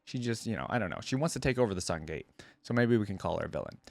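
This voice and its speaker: A man hosting a reaction video, commenting informally and reading aloud as he thinks out loud. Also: on the low side, big pitch swings, racing, vocal fry, no room sound.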